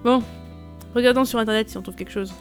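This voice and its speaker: A blunt, exasperated young woman, played by an actress, with a fairly high voice. She talks in a skeptical, conversational way, as in an audio drama with light music. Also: fast talker, natural voice. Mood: exasperated musing.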